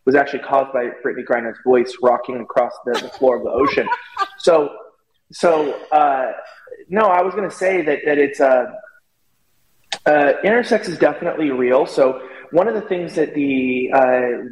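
A man's voice: medium pitch, muffled recording. Echoey man giving opinionated commentary. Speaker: guest commentator on a talk show. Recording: echoey room with long reverb.

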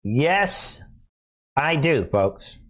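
Male opinion host, slow and deliberate, preachy, alarmist, sarcastic, informal direct address to viewers. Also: on the high side, very animated, a bit bright. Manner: alarmist, preachy, pausing between short phrases; mocking, sarcastic asides. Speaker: host and commentator.

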